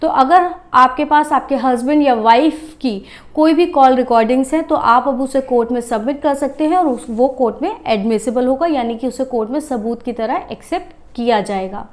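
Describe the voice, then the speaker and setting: A woman with a high-pitched voice speaks as an advocate presenting legal commentary. She explains points directly to her viewers and mixes English legal terms into her sentences. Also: normal speed.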